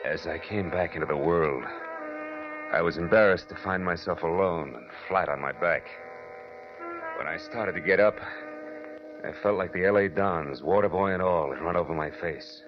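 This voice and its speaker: A man's voice: low-pitched, normal speed, lightly acted. An actor playing a wry, tough American private detective who narrates his case in a dry 1940s radio style. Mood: tense, wry. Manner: dry, wisecracking. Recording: dull vintage radio recording, music behind.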